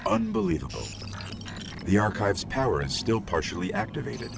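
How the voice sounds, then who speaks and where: Little curious robot sidekick in a game, low n gravelly, clipped scholarly lines, big music behind. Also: male voice, wildly swooping pitch, normal speed, exaggerated voice. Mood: curious, awed. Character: small male robot companion, curious, brisk, quietly awed. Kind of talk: video game character dialogue.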